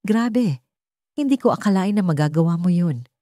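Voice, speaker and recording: A woman's voice: on the low side, animated, moderate pace, warm tone, dry recording, clean studio sound, voice pushed up, natural voice. A woman voicing a callous young man who gloats bluntly in a romance story. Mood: gloating. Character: callous young man, heroine's crush's gloating best friend.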